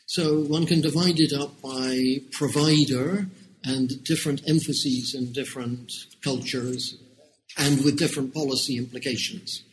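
A British man speaking in a calm, deliberate lecturing manner, drawing out key words as he explains. He answers interview questions like a seasoned academic. A faint hiss of background noise sits under his voice. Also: mid-range voice, poor audio. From United Kingdom. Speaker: education researcher guest.

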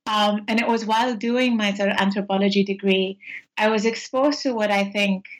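Woman, from Pakistan, academic guest, thoughtful, level intonation, pauses with um. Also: medium pitch, fairly even intonation, low-quality recording. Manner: thoughtful, frequent ums, reflective. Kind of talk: academic interview conversation.

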